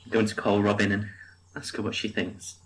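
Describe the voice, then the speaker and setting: A man playing a reflective, terminally ill stranger with a quiet, hesitant manner in a naturalistic radio play. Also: fairly low pitch, monotone, brisk, natural voice, poor audio. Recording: faint outdoor noise with birdsong.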